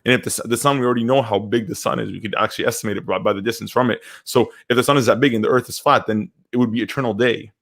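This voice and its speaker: A man's voice: medium pitch, steady tone, very fast, gravelly, few pauses, a bit bright. A man in his twenties from Syria and Canada, chatting casually and hesitantly as a podcast co-host, often repeating words as he goes. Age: 20s. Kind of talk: casual live discussion.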